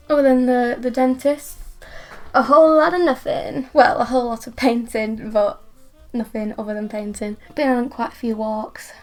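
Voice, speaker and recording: A young woman with a northern English accent talks casually about her art in a chatty, self-deprecating way. Her slightly high voice has a gravelly, croaky edge, since she has just woken up and has retainers in. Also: a little mellow. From England. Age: young adult. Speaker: art vlogger.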